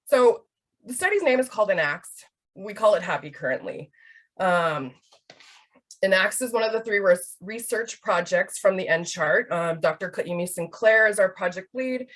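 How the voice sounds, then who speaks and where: A woman's voice: medium pitch, expressive, normal speed. A woman in her thirties from Hawaii, presenting a webinar in a casual, chatty way with many fillers. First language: Hawaiian Pidgin. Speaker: research project manager.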